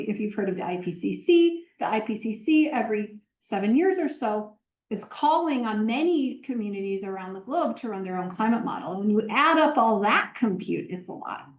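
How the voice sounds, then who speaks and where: A woman professor answering audience questions in a lecture hall, explaining with energy, often pausing on "um" and correcting herself mid-sentence. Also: a little high. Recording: roomy lecture hall sound.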